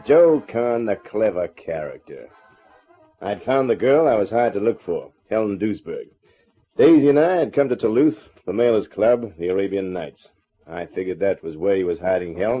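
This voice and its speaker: An actor playing a tough, sardonic private eye in a hardboiled 1950s radio drama. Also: male voice, lowish voice, mellow, husky. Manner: dry, sardonic, laconic. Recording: muffled old radio recording.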